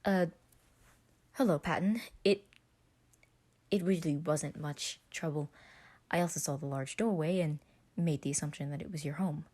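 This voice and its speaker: A woman's voice: deep voice, normal speed, long pauses, dark tone. A woman voicing a reserved, shy man in a story, stiffly polite and hesitant in speech.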